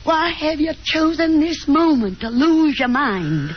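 A woman's voice: high voice, very animated, unhurried, noisy background. An actress playing a fussy, domineering middle-aged mother in a 1950s radio drama, coaxing and insistent. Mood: exasperated, commanding. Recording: muffled old 1950s radio broadcast with music.

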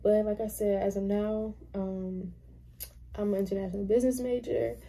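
College girl rambling at her camera in her room, dark flat voice, lots of likes and ums. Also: medium pitch, moderate pace, gravelly. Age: young adult. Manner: rambling, filler-heavy, trails off and restarts. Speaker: college student vlogger. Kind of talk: casual Q&A chat to camera. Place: small bedroom, indoors.

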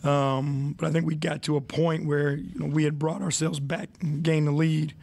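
Coach type, man in forties, gravelly, flat-toned, slightly high, measured answers on a desk mic. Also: no room sound. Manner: measured, many fillers, reflective. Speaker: head coach. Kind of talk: postgame press conference. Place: arena press room.

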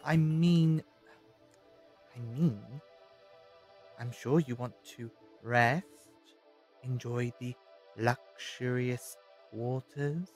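A man's voice: medium pitch, fairly even intonation, drawn-out words, pauses often, darkish tone, faint music behind. A man playing an earnest, politely anxious scientist adventurer in an audio drama, stammering a little. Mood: earnest concern.

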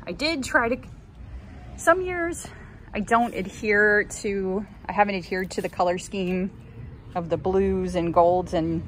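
A woman in her thirties giving a casual, chatty garden tour, recorded outdoors on a handheld phone. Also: medium pitch. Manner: chatty, cheerful asides. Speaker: gardening vlogger. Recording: outdoor ambient noise.

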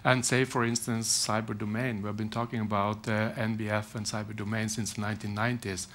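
A middle-aged man speaking on a security conference panel, measured and hesitant with frequent restarts, through a headset mic. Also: on the low side, level tone, fairly bright tone. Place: conference hall panel stage.

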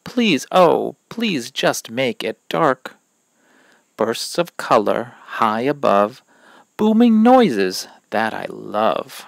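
A man reading rhyming verses aloud from a children's picture book in a deliberate, expressive way, pausing between phrases. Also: mid-range voice, gravelly, no echo. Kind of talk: children's story read-aloud of rhymes.

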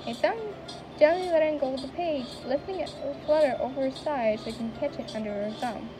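Woman reading a visual novel out loud, high voice, trips over words, noisy mic. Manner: quick, stumbling over words. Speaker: game player reading aloud. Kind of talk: casual reading of game text. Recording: noisy home recording.

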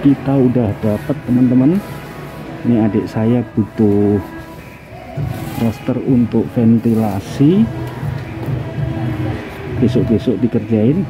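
Chatty man vlogging over loud music. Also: mid-range voice, takes it slowly, long pauses, poor audio. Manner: chatty, addresses viewers as friends. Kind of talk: casual walking vlog. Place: large indoor building supply store.

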